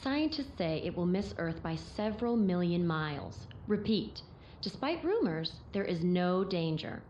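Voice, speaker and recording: An actress playing a radio news announcer with a smooth, reassuring broadcast delivery. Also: female voice, on the low side, sing-song, measured pace, darkish tone, dull audio, slight background noise. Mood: calm.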